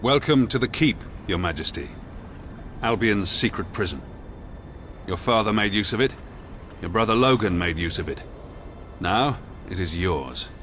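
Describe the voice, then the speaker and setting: A man voicing a grave, dutiful military commander of a prison in a video game, with a formal, measured, deferential manner. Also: fairly low pitch, expressive, a bit bright, faint music behind. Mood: formal, solemn.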